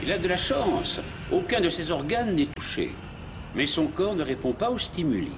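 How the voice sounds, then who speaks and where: A man's voice: a little high, very animated, normal speed, dull audio, noisy background. A man playing a learned cartoon professor who explains things precisely and methodically. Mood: analytical. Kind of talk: French cartoon dub acting.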